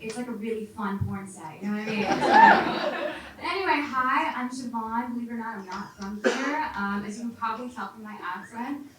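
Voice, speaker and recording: A woman's voice: on the high side, normal speed, over a noisy crowd. A woman from China doing a brash, crude stand-up set. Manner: brash, crude, deadpan jokes. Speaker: stand-up comedian. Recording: echoey club from far off, loud noise.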